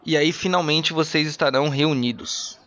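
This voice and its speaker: A man's voice: on the high side, fairly even intonation, normal speed, a bit bright. A man narrating a tabletop roleplaying game as game master. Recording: dull lo-fi, music behind.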